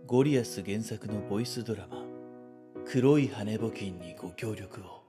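A man's voice: lowish voice, moderate pace, close to an everyday voice. A man announcing in a scripted promo for a voice drama, with music behind him.

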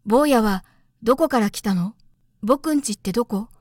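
A woman's voice: a little high, animated, normal speed, darkish tone, dry recording, clean studio sound. A woman voicing a stranded male pilot in a storybook, earnest, easily irked and plain-spoken. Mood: urgent curiosity.

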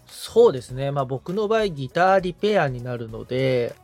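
A man's voice: mid-range voice, animated, moderate pace, a little mellow, faint music behind. A man assisting on a podcast, talking casually but politely and thinking aloud as he answers. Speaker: podcast assistant, guitar repairman.

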